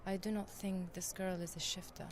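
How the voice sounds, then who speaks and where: An actress playing a calm, mystical healer in a fantasy game, speaking in solemn, measured, formal phrases. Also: female voice, on the low side, slight background noise, natural voice. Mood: grave, puzzled. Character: calm, mystical female healer guiding a trance.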